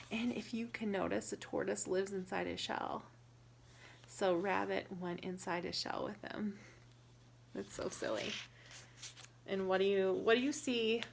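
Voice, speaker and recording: A woman reading a picture book aloud to young children, pausing to explain and ask questions in a deliberate, instructive way. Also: mid-range voice, vocal fry, slight background noise.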